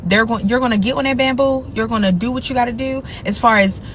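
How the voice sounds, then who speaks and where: A woman's voice: on the high side, quick pace, a little hiss or hum. A woman speaking frankly and at length, giving her opinion in a commentary video. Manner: frank, opinionated, talks it through.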